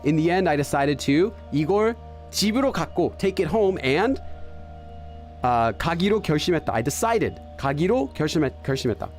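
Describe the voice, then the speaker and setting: A man's voice: a little high, animated, rapid-fire, a little mellow, faint music behind. A man hosting a gaming channel, thinking aloud in casual let's-play commentary and hesitating with fillers and restarts as he translates for himself. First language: English.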